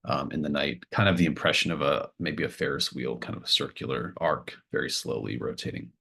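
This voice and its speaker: A man with a deep voice narrates a tabletop roleplaying game as game master. He improvises informally, feeling his way through the descriptions. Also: a little fast, vocal fry, sharp tone, breathy edge.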